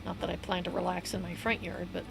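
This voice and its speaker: A woman livestreaming a game, chatting in a loose, rambling way with a hoarse voice and easy laughs. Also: fairly low pitch, flat delivery, fast talker, rough recording. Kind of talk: casual rambling chat while gaming. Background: upbeat game music.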